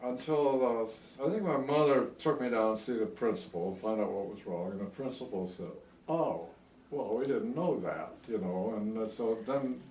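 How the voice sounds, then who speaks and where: A man's voice: mid-range voice, moderate pace, a little mellow, slight background noise. Old american guy in his 80s rambling through memories, bit far from the mic in an echoey room. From United States. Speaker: oral history interviewee. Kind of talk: reminiscing in a casual interview. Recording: echoey room, distant microphone.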